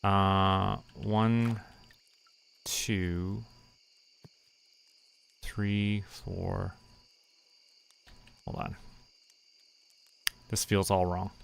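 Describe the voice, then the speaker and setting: A young man streaming a relaxed game, talking to himself and counting under his breath as he plans. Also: low voice, very slow, gravelly, pauses often, slight background noise. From Canada. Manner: muttering, counting to himself while planning. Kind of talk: relaxed gameplay commentary.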